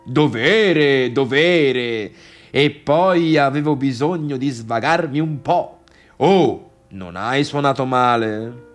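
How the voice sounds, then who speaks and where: A man's voice: medium pitch, slow pace, soft background music, natural voice. An actor playing an effusive, patronising middle-aged father in a radio play, with a teasing, exclamatory drawl. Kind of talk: theatrical radio drama dialogue.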